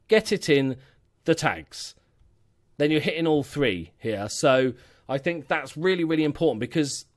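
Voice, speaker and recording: A man giving a casual, rambling critique of a gaming channel as a YouTube coach, often correcting himself mid-sentence. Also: fairly high pitch. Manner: rambling, self-correcting, chatty. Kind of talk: casual screen-share critique.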